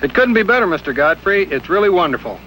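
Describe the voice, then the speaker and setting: A man's voice: sits high, very animated, quick pace, pushed and bright, slight background noise, rough recording. A young American man, a military pilot, speaking politely and earnestly in a rehearsed on-camera interview. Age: young adult.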